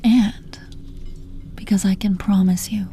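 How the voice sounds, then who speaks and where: Woman performing as female arch villain, possessive and menacing yet tender, dark soft timbre, sultry deliberate delivery, audio roleplay, noticeable background noise. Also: medium pitch, fairly even intonation, lightly acted. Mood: intense hushed tenderness. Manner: sultry, deliberate, swearing, addresses listener with pet names. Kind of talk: intimate audio roleplay, scripted monologue.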